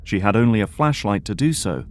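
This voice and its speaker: A man's voice: lowish voice, animated, brisk. A man narrating a scripted audio drama as storyteller.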